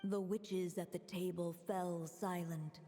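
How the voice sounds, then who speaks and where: A woman voicing a composed, resolute, motherly witch who narrates a storybook in a video game with measured, theatrical delivery. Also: on the low side, fairly even intonation, warm tone, faint music behind. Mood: measured, solemn.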